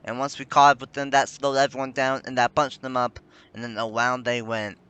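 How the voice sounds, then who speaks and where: A man's voice: medium pitch, little pitch movement, brisk, fairly bright tone, clean tone. A man giving rambling, self-correcting play-by-play commentary on a simulated stock car race. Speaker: sim racing commentator.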